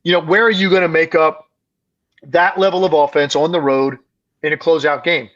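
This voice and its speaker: A male basketball analyst co-hosting a podcast, his voice strained and raspy from a heavy week of talking, breaking the game down emphatically. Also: fairly high pitch, steady tone, moderate pace, a bit bright, dull audio. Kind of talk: casual sports podcast analysis.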